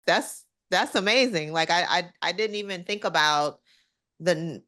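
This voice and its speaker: A woman hosting an interview, asking eager, quick questions. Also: fairly low pitch, a bit bright, no room sound, studio quality. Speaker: host. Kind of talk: interview and channel promo.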